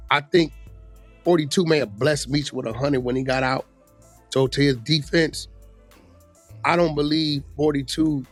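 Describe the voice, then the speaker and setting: A man chatting casually and bluntly, with emphasis and a lot of slang. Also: mid-range voice. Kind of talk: casual opinionated chat about street gossip. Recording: music playing under the voice. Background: music.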